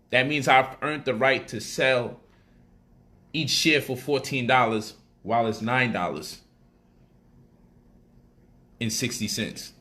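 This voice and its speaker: A man's voice: medium pitch, bright, forceful tone. A man casually explaining stock options on a livestream, repeating phrases for emphasis and switching into loose street slang.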